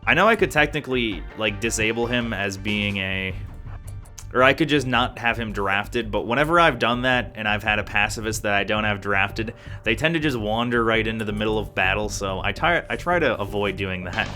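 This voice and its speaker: Man, low-ish voice, casual rambling gameplay talk, game music playing under him. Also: moderate pace, sharp tone. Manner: chatty, rambling, thinks aloud. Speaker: let's play gamer.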